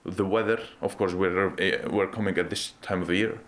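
A man's voice: deep voice, steady tone, fairly quick, a bit bright. A man in his twenties, a native Arabic speaker, telling his story earnestly as an interview guest, often restarting and saying "exactly". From Iraq. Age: 20s. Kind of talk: casual conversational interview. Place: reception area of a pool.

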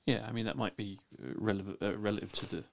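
A man's voice: fairly low pitch, steady tone, fast, vocal fry. A man with a London accent, a linguist guest giving blunt opinions with many restarts. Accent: London English. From England. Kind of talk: casual opinionated discussion.

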